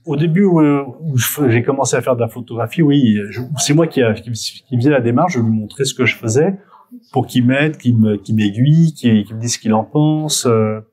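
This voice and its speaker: Man, middle-aged, medium pitch, spontaneous interview talk, halting, repeats words. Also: a little mellow. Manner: hesitant, self-correcting, with frequent word repetitions. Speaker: photographer being interviewed. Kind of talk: spontaneous interview answers, reminiscing.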